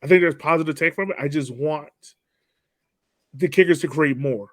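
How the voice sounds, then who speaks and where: Higher-pitched man chatting about sport, close dry sound. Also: normal speed, fairly bright tone, no room sound. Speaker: podcast co-host. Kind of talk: casual soccer post-game chat.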